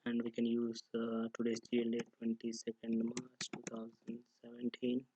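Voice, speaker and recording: Flat-toned man narrating a software tutorial. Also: mid-range voice, a little hiss or hum, low-quality recording. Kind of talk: unscripted step-by-step screen tutorial narration.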